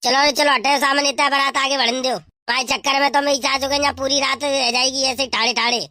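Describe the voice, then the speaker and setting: A man voicing a bossy, irritable cartoon father bird, scolding in a rustic western Uttar Pradesh accent through a pitched-up effect. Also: little pitch movement, quick pace, sharp tone, dry recording, studio quality, exaggerated voice. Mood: bossy, irritated. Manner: scolding, rustic, repetitive cursing. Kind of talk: comic cartoon dub.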